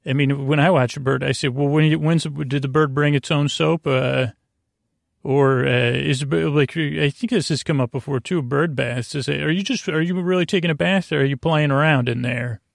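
A man hosting a bedtime sleep podcast in a creaky drawl, rambling hesitantly and drifting off on whimsical tangents. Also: a little high, normal speed, no room sound, studio quality. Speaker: podcast host.

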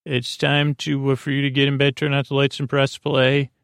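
Creaky guy doing a bedtime podcast, rambles and wanders off, kinda goofy, really dry close sound. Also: medium pitch, monotone, no room sound. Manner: meandering, halting, trailing off into tangents; goofy, gently silly. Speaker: host of a bedtime sleep podcast.

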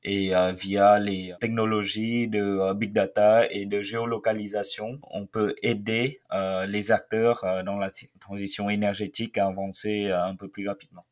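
A man in his twenties from Vietnam, speaking, sharing his experience as a researcher and startup founder in a hesitant way, with many filled pauses. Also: on the low side, little pitch movement. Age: 20s. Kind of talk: first-person testimony.

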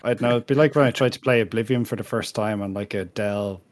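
A man's voice: lowish voice, fast talker. A man hosting a casual podcast with friends, talking in a dry, wry way. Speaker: podcast host. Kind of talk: casual bantering podcast chat.

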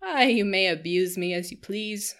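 A woman's voice: mid-range voice, moderate pace, a bit bright, lightly acted. A good-natured old lady from a period storybook, voiced by a woman reader, hearty and blunt. She speaks with easy familiarity.

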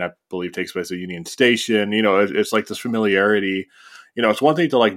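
A man co-hosting a film podcast, talking in a measured, thoughtful way with frequent pauses and ums. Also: sits low. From born in South Africa, raised in Canada. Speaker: podcast co-host. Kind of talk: casual film discussion.

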